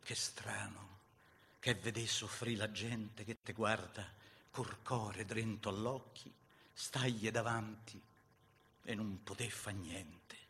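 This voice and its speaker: Theatrical Roman veteran, noisy recording. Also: male voice, lowish voice, steady tone, takes it slowly, creaky, in a large hall, full character voice. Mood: hushed, sorrowful. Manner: theatrical, impassioned, swinging between hushed and loud. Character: grieving Garibaldian veteran recounting a bloody battle. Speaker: actor reciting a dialect poem. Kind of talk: dramatic verse recitation.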